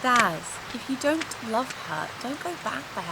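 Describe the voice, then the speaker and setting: Actress as a high, animated, world-weary workmate. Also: female voice, measured pace, exaggerated voice. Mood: concerned. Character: plain-speaking, caring, world-weary woman workmate. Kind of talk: comedy audio drama dialogue. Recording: clearly noisy, rain-like background.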